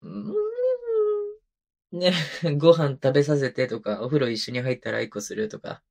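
A man acting as an affectionate young husband in an intimate audio drama, with a mid-pitched voice. He coaxes and teases in a casual, boyish, slightly hesitant way, clingy and childlike, close to a binaural microphone. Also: animated, normal speed, gravelly, darkish tone. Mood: whiny, pleading. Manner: coaxing, teasing, casual and hesitant. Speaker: voice actor. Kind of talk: intimate ASMR situation voice drama.

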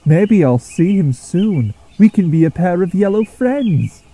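Sweet, inquisitive female train character, played by a man, raised soft pitch, polite and cheerful, children's railway story. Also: big pitch swings, brisk, breathy edge, exaggerated voice. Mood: cheerful, curious. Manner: bright-spirited, polite, inquisitive. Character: friendly, cheerful, curious young female steam engine.

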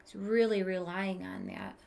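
A woman's voice: on the low side, big pitch swings, measured pace, creaky, a little mellow. A woman in her thirties reading a children's storybook aloud expressively, adding asides and questions for young listeners. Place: small room with bookshelf, indoors.

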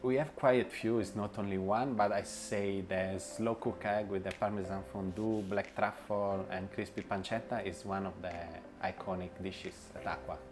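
A man's voice: fairly low pitch, fairly even intonation, normal speed, hardly any pauses, a little mellow. A man in his forties, a chef and restaurant owner, answering interview questions in a hesitant way, with many fillers, restarts and grammar. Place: bright indoor room with light wall. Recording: music bed under voice. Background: background music.

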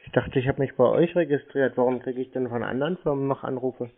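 A man with a mid-pitched voice chats casually on the phone. His delivery is laconic and deadpan, and the sound is thin and muffled like a telephone line. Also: quick pace. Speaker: YouTuber prank-calling phone scammers. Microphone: telephone call.

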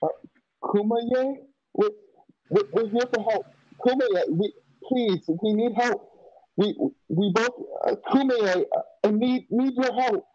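A man's voice: sits high, slow pace, a little mellow, dry recording. A young man playing an earnest, frightened frontier youth in a radio western, stammering and hesitant in rustic country speech. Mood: scared, pleading. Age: young adult. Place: home room on a video call. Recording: dull video-call audio.

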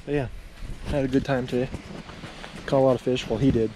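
A man's voice: medium pitch, steady tone, fast talker, noisy background. A young man casually narrating as he fries fish he caught, talking to the viewers while he works outdoors on an action camera. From Canada. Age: young adult. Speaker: fishing vlogger. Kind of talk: casual outdoor cooking vlog. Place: outdoors at a lakeside parking area. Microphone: action camera, sometimes handheld at arm's length.

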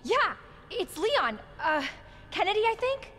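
A woman's voice: very high voice, very animated, a little slow, a bit bright, a little hiss or hum, exaggerated voice. An actress playing a plucky, caring young woman survivor in a video game, with an earnest, emotive and determined delivery. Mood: surprised, earnest. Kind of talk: dramatic video game cutscene acting.

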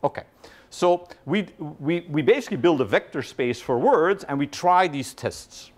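Animated middle-aged man lecturing. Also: on the high side, normal speed. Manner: animated, peppered with "right?", quizzes the audience. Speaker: guest lecturer. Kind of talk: university lecture. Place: large lecture hall with audience.

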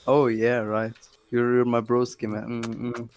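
A young man chatting casually over a headset while gaming, provocative, excitable and laughing often. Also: mid-range voice, unhurried. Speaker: gamer streaming his match.

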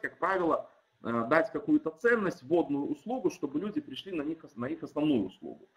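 A man's voice: medium pitch, rough recording. A man who is a non-native speaker of Russian, a startup team lead, explaining his product casually over a video call with many fillers and restarts. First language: not Russian, though Russian-speaking.

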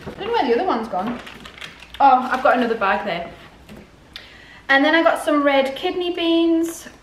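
High-pitched woman chatting in an echoey room. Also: animated, normal speed. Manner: chatty, often questioning herself aloud. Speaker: vlogger showing a grocery haul. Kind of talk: casual chatty haul commentary to camera. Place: indoors in a home dining room. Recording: echoey room, clear background noise.

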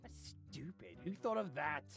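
A performer voicing a grumpy, greedy, scheming little creature in a comedy game series, dramatic and sulky, swinging from mutters to shouts. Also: medium pitch, lively intonation, normal speed, creaky, over music. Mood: sulky, defiant. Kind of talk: voice-acted comedy over gameplay.

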